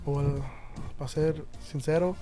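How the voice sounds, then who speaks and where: A man in his twenties from Indianapolis, a band's lead singer, talking in an excited, slangy way in an interview. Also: fairly high pitch, unhurried, over music. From Indianapolis, USA. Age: 20s. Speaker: band singer. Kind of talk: casual interview.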